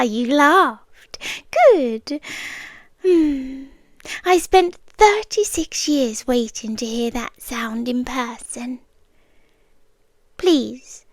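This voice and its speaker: A woman plays an immortal cosmic yandere with a British accent and a highish female voice. She speaks very slowly and softly, often slipping into whispers, tender yet possessive. It is an intimate ASMR roleplay, recorded close and dry. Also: no room sound. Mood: yearning. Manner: soft, deliberate, often dropping into whispers. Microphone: close microphone.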